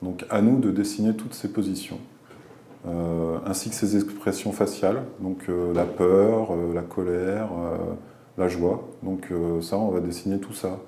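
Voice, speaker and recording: Deep dark-voiced man in a roomy space. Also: fairly even intonation, unhurried, a little hiss or hum. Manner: hesitant, repeats words, many fillers. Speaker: animation designer. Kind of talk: documentary interview explanation.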